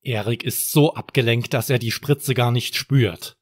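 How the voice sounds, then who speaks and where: Slow-paced male bedtime storyteller. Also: mid-range voice, dry recording, studio quality. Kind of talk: scripted children's bedtime story narration.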